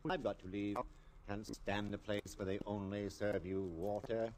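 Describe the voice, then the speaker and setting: A man's voice: lowish voice, flat delivery, unhurried, darkish tone, slight background noise, lightly acted. An actor playing a fussy, genteel, whimsical male sidekick in a 1950s radio drama, theatrical and arch. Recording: old radio recording with clicks.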